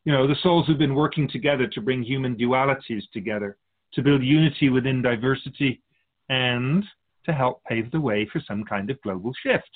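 Through a thin, phone-like band comes a man acting as a wise spirit from beyond, calm and measured. He speaks philosophically in radio drama dialogue, gently teasing the humans he guides. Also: mid-range voice, natural voice. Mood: calm, grave. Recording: muffled phone-like narrow band.

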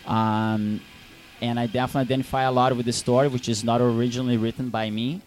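A man's voice: medium pitch, level tone, fast talker, a little mellow. A young Brazilian man with a Brazilian Portuguese accent, a film director answering interview questions, hesitant with many fillers and restarts.

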